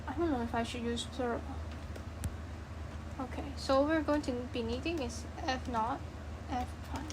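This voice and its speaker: Female tutorial presenter, higher-pitched, informal, thinks aloud step by step; clearly noisy recording. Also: normal speed. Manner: thinking aloud, asking and answering own questions. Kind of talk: informal screen-share coding tutorial.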